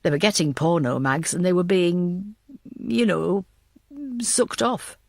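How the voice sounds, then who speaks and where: Lady doing a male detective, lowish voice, uneasy and blunt, audiobook crime story, dry sound. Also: lively intonation, takes it slowly, vocal fry, dry recording, heavily acted. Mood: grave, uneasy. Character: uneasy low-spoken male investigator reporting grim news.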